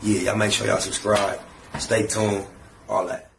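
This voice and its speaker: A man giving a slangy, laid-back promotional shout-out. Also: lowish voice, level tone, a little slow, vocal fry. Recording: distant, echoey, faint noise.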